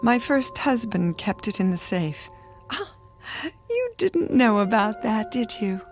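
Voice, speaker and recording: An actress playing a frightened but steely, defiant wife in a radio drama, speaking deliberately and sometimes near a whisper. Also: female voice, on the high side, big pitch swings, soft background music. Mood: threatening. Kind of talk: dramatic first-person radio drama narration and dialogue.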